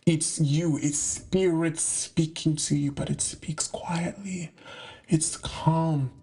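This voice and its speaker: A man's voice: on the high side, fairly even intonation, slow, warm tone, breathy edge. A young man from the UK giving a casual, slangy monologue to camera about spiritual topics, with playful asides. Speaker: spiritual content creator.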